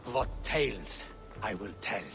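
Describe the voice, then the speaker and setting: An actor playing a captured Geat raider who drawls slow, mocking answers to his captors. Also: fairly low pitch, animated, music in the background. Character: captured male Geat raider, sly and defiant. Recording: game cutscene with faint ambience.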